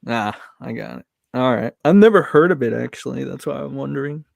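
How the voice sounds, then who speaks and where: A man's voice: medium pitch, animated, a little fast, a little mellow. A man co-hosting a casual stream, chiming in with short, easygoing remarks. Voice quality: gravelly. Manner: easygoing, short agreeable interjections. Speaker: co-host. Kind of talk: casual live-stream chat.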